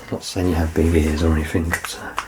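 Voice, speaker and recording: A man playing a doctor in an ASMR medical exam roleplay, soft-spoken and reassuring, with gentle teasing and close whispered passages. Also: extremely low pitch, flat delivery, fast, noisy background. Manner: slips into unvoiced whispering up close.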